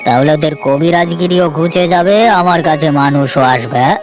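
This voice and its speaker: A man voicing a jealous, scheming middle-aged village herbalist in a cartoon melodrama, with theatrical, drawn-out delivery. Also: fairly high pitch, lightly acted. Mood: scheming, gloating. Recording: voice over prominent background music.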